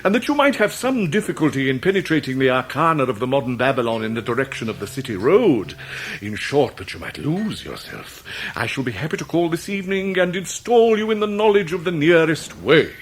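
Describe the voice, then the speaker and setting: An actor playing a grandiloquent, middle-aged British gentleman, long-winded and florid, in a radio drama. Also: fairly high pitch, very animated, fairly quick. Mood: pompous, courteous. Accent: British English. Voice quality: theatrical, orotund delivery.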